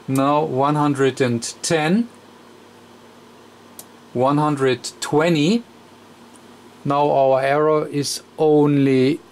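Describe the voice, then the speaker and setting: A man demonstrating a radio repair at his workbench, talking haltingly with many fillers and counting aloud as he adjusts. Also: fairly high pitch. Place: electronics workbench.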